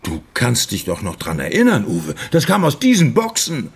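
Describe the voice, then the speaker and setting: Animated middle-aged party host. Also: male voice, mid-range voice, moderate pace, gravelly, husky, heavily acted. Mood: exuberant. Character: middle-aged ex-leftist host, expansive and theatrical, reunion party. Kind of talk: radio drama acting.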